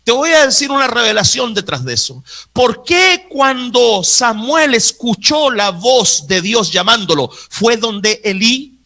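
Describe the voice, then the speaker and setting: A man's voice: extremely high pitch, sing-song, unhurried, pushed and bright. A man preaching a sermon to a live congregation, emphatic and insistently repeating his phrases. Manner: acts out Bible dialogue.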